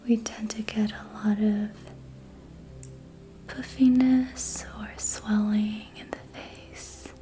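A woman whispering softly and breathily in a gentle, soothing ASMR style, guiding a facial treatment in short phrases. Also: mid-range voice, little pitch movement, slow pace. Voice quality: soft, breathy unvoiced whisper. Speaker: host, reiki and facial massage practitioner. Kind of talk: ASMR, slow guided narration of a treatment.

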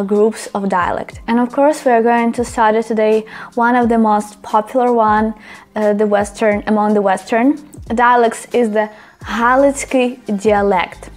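A young woman in her twenties with a Ukrainian accent, teaching a language lesson to camera and repeating words slowly and carefully for learners. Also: on the high side. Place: small room indoors. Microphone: small clip-on mic on her top.

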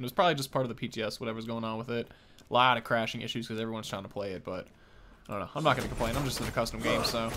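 A man in his thirties hosts a casual game preview. His voice sits at a medium pitch and he talks informally, with enthusiasm. Faint noise and clicks run behind him. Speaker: gaming video host. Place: small indoor room at a desk.

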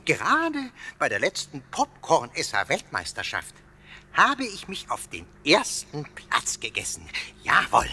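A man voicing a cheeky, boastful little castle ghost in a children's audio drama, with a husky voice and a self-satisfied habit of repeating himself. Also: fairly high pitch, huge pitch swings, unhurried, bright, forceful tone, slight background noise, full character voice. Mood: boastful indignation.

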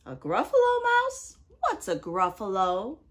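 A woman voicing a fussy owl in a read-aloud picture book, loud and high with hoots. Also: wildly swooping pitch, unhurried. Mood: prim.

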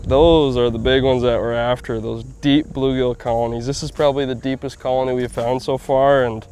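A man's voice: medium pitch, normal speed. A man in his thirties, an outdoors host, explaining fishing technique on a boat in an easygoing, explanatory way. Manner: easygoing, explanatory, laughs often when excited. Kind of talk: casual fishing instruction.

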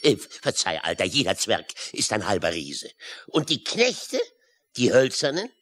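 Deep, wildly animated old-salt sailor. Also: male voice, measured pace, a little hiss or hum, full character voice. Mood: hearty, resolute. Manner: boisterous, emphatic, swearing seaman's oaths. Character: hearty, blustering peg-legged sailor uncle. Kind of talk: children's audio play acting.

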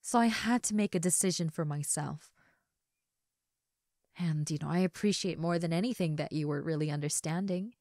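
A woman acting a tender, regretful young substitute teacher in an intimate roleplay monologue, speaking hesitantly with soft sighs on a close mic. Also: low voice, moderate pace, dry recording. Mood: guilty, earnest. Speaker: roleplay audio voice actress. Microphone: close studio mic, dry.

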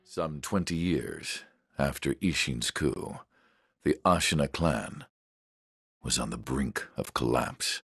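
A solemn narrator for a samurai video game. The voice is extremely low and gravelly, delivered slowly, with grave weight in a scripted prologue style. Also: male voice, a bit bright, no echo, exaggerated voice. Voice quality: gravelly, husky.

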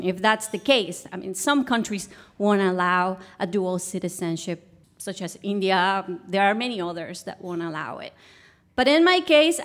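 A woman from Guatemala with a Guatemalan Spanish accent, telling a personal story on stage as a guest. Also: mid-range voice. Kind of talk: personal story told to a live audience. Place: live stage show.

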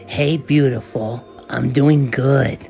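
A man voicing a hot-tempered, clingy young male frog in a homemade skit, whiny and quick to threaten. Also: mid-range voice, fairly even intonation, gravelly, over music. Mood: lovestruck.

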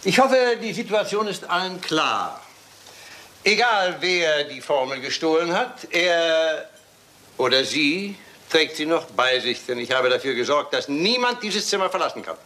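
An actor playing a stern, commanding old English lord in a German radio crime play, speaking deliberately and with authority. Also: male voice, fairly high pitch, expressive, sharp tone. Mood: grave, controlled. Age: older.

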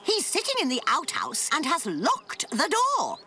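Bossy hen, bright and high. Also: female voice, wildly swooping pitch, a little slow, a little hiss or hum, exaggerated voice. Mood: scolding. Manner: nagging, indignant. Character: bossy, scolding farmyard hen. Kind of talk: cartoon voice acting.

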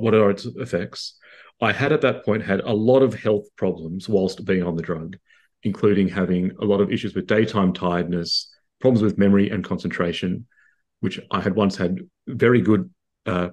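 Low-voiced Australian man explaining. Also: a little mellow, breathy edge. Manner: articulate, explanatory, with frequent restarts. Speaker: psychiatrist guest. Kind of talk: conversational interview answers. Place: home room indoors. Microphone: video call.

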